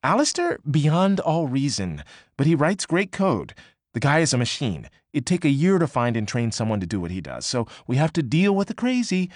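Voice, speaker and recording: A male narrator putting on a brash, gossipy young woman, pitched up high and swinging wildly in tone. Her delivery is quick and emphatic, swearing freely, in a close, dry audiobook read. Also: wildly swooping pitch, dry recording. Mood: teasing. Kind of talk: audiobook character voice.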